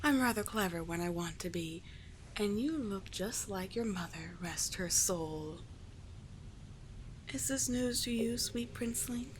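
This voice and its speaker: A woman voicing a calm, teasing sea witch with soothing, formal endearments in a scripted ASMR roleplay. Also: mid-range voice, a little slow, a little hiss or hum, lightly acted. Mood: knowing, soothing. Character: calm, courtly, teasing sea witch, gentle yet slightly monstrous.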